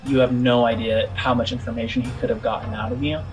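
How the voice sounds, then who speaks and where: A man narrating as game master of a tabletop role-playing game, phrasing things haltingly and deliberately with pauses. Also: medium pitch, level tone, low-quality recording. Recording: music behind the voice. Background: music.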